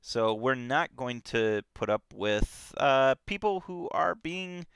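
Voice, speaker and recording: A man co-hosting a live book discussion stream, relaxed and blunt, with many fillers. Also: medium pitch, slow, creaky, clear, resonant tone, dry recording, clean studio sound. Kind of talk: casual live-stream chat.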